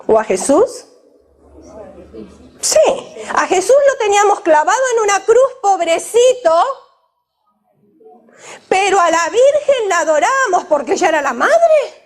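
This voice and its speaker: A woman's voice: very high-pitched, big pitch swings, a little slow, long pauses. A woman from Argentina, preaching a sermon to a women's gathering and questioning the audience directly.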